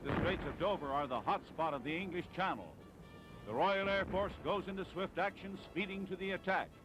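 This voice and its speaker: A man's voice: high voice, a little fast, highs cut off. A man narrating a 1940s newsreel in dramatic, declamatory, clipped phrases. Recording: vintage 1940s newsreel soundtrack with music.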